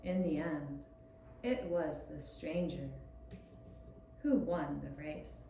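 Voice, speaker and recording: Slow, gentle woman storyteller in an echoey room. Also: deep voice, gravelly. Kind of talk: scripted storytelling for young children. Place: echoey room, puppet show performance. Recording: roomy echoing sound with faint hiss.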